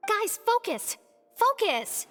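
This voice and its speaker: An actress voicing a stern, easily flustered young female technical consultant in a video game, sharp and emphatic. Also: extremely high pitch, big pitch swings, slow pace, full character voice. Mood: scolding. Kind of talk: scripted video game dialogue. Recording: long reverb, faint game music.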